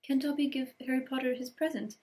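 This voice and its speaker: Brief: fretful, eager male elf, squeaky and broken grammar, voiced by a woman reader. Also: steady tone, fairly quick, darkish tone, natural voice. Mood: anxious. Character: anxious eager male house elf, small.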